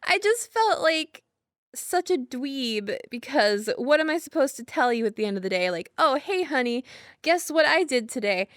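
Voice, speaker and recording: A young woman with a fairly high voice speaks in a dry, close recording. She plays a girlfriend in an intimate, casual monologue. Her delivery rambles, giggly and self-deprecating, with a hesitant edge. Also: sing-song, moderate pace, fairly bright tone, dry recording. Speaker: audio roleplay performer as girlfriend.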